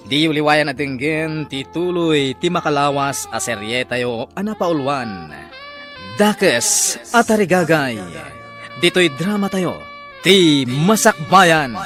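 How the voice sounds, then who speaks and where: A man narrating a radio drama as its announcer, in a dramatic scripted style with drawn-out, emphatic titles. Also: on the high side.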